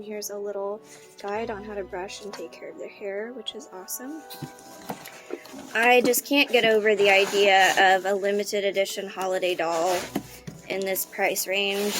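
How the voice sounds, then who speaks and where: A woman in her thirties who sounds hoarse, chatting casually with lots of ums and likes while unboxing a doll for her channel. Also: medium pitch, fairly even intonation, measured pace, music in the background. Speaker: doll collector vlogger.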